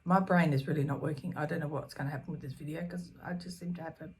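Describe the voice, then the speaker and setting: A woman in her fifties chatting casually to camera about her stitching, scattered and full of ums, her voice slightly croaky. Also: sits low, steady tone, quick pace, a little mellow, a little hiss or hum. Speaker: cross stitch vlogger. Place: small room at home.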